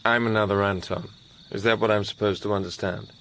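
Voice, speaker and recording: A man's voice: fairly low pitch, level tone, a little fast, a bit bright, a little hiss or hum. An actor playing a proud, sceptical young Englishman in a radio drama. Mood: wounded, indignant. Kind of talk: radio drama dialogue and first-person narration.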